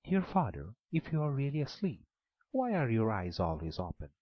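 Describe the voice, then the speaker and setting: A man's voice: mid-range voice, wildly swooping pitch, normal speed, no echo, muffled recording, pitched above the performer's own voice. A man voicing a young girl who answers an ogre with pleading innocence, in a raised voice. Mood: innocent. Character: young girl, timid and clever.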